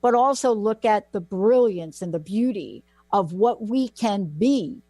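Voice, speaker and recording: A woman's voice: medium pitch, animated, unhurried, mellow, no room sound. A woman hosting a talk radio show, gushing and effusive as she welcomes her guests back.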